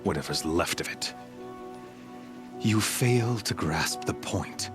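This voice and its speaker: A man playing a cruel, smug cult leader in a video game cutscene, speaking in a measured, menacing and grandiose way. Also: low voice, lively intonation. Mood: cold menace. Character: cold, cruel male cult leader, smugly zealous villain. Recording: voice under background music.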